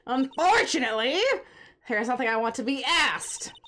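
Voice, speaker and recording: A woman's voice: sits high, normal speed, a bit bright, a little hiss or hum. A woman voicing a sassy, spiteful young female witness with a snide, mocking manner while reading game dialogue aloud.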